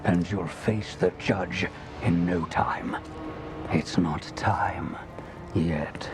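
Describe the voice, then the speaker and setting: An actor playing a smug, menacing grand master in a fantasy game, haughty and mockingly courteous in his deliberate delivery. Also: low voice, exaggerated voice. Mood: silky, commanding. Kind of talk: dramatic fantasy game villain acting. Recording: clear music under the voice.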